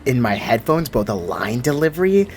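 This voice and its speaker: Young man, mid-pitched voice, casual slangy game reaction commentary, faint background noise. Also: sing-song, moderate pace. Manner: slangy, informal. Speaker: gaming video creator.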